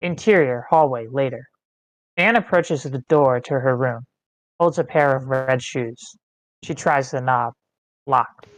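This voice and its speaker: Screenplay directions reader, measured delivery, medium-low neutral pitch, scripted narration, no room echo. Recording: online meeting, close and dry.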